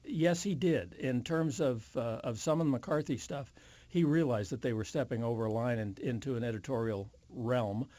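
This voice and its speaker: An older man answers interview questions reflectively. His voice is warm and mid-pitched, and he picks his words with care in a very dry recording. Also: no echo. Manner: measured, careful word choice. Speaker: interview guest.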